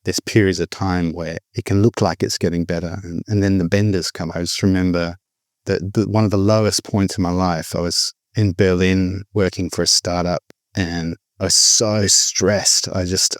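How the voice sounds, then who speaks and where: Deep-voiced man telling his story. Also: steady tone, moderate pace, dry recording, clean studio sound. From born in the Philippines, raised abroad. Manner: reflective, candid, with frequent restarts. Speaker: guest sharing recovery story. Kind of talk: personal storytelling.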